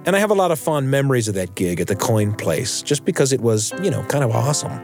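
A man's voice: mid-range voice, expressive, quick pace, darkish tone, faint music behind. A middle-aged American man hosting a radio show, telling personal stories in a conversational way with emphatic asides. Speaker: radio show host and storyteller.

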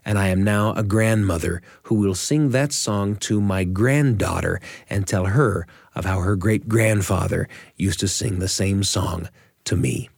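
A man's voice: lowish voice, husky, no echo, clean studio sound. An American man narrating a scripted storytelling radio show as its host.

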